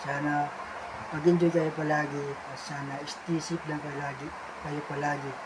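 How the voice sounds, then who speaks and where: A man's voice: a little high, flat delivery, moderate pace, heavy background noise. A man casually hosting a puppet show, addressing the audience in a friendly, repetitive way. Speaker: puppeteer host.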